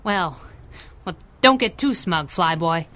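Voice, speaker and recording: An actress playing a tough, wisecracking young masked crimefighter in a 1930s-style radio adventure, with a cocky, sardonic delivery. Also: female voice, lowish voice, lively intonation, takes it slowly, fairly bright tone. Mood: cocky banter.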